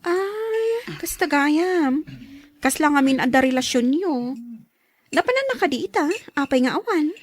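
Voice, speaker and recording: A woman playing a nosy, outspoken young friend in an audio drama, with an animated, teasing manner. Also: high-pitched, a little slow, slight background noise.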